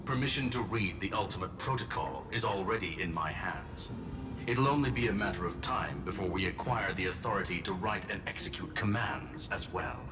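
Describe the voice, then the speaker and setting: A man's voice: low-pitched, lively intonation, moderate pace. An actor playing a courteous, self-assured male strategist in a game, with formal, stately delivery. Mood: composed, confident. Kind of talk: theatrical game dialogue. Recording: distant voice under loud music.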